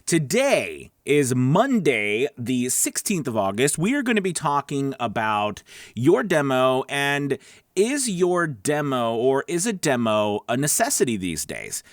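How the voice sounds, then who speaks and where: A man's voice: medium pitch, big pitch swings, a little slow, dry recording, clean studio sound. A man hosting an instructional vlog, talking to viewers in a conversational, emphatic way and often checking in with them. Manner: conversational, emphatic, checks in with "right?" and "you know". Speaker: host of a voice-over advice show.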